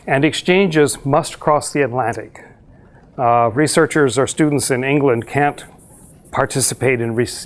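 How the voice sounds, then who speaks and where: Measured middle-aged male professor presenting. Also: medium pitch. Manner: measured, explanatory, frequent filler pauses. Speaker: university professor presenting a research exchange program. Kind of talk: slide presentation to an audience.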